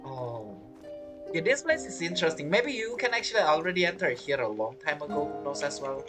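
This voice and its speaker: A woman's voice: low voice, sing-song, a little fast, over music. A woman giving casual, rambling let's-play commentary, reacting aloud as she plays. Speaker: game streamer.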